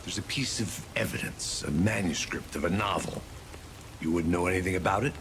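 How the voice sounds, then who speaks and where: An actor playing a weary, brooding hardboiled detective in a game, speaking in a slow, grim deadpan with a gravelly voice. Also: male voice, noisy background. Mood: grim, suspicious. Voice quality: very deep, gravelly. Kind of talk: noir monologue and game drama dialogue. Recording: roomy echo under loud music.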